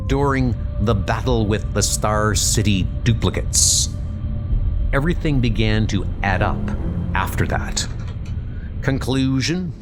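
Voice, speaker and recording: A man's voice: on the low side, expressive, slow. Man playing stern, calculating vigilante detective over music. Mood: grimly confident. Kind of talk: comic book dub.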